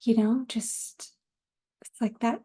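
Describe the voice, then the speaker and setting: Casting: woman podcaster, thirties, light higher voice with a soft, relaxed tone, informal and rambling. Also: slow, slightly rough. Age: 30s. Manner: informal, rambling, laughs easily, occasional swearing. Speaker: podcast host. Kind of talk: casual solo podcast chat.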